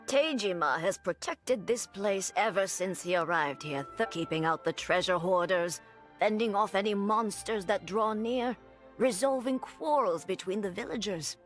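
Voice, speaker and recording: Anxious village woman for a game female actor: sincere, pleading, medium pitch. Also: close to an everyday voice. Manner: earnest, concerned. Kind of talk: video game voice acting.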